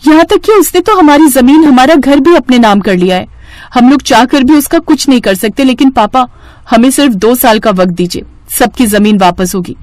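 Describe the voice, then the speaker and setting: A woman's voice: high voice, moderate pace, a little mellow, no room sound, pitched above the performer's own voice, natural voice. A woman voicing a righteous, devoted young village daughter in a dramatized story, earnest and defiant. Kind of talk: dramatized moral story acting.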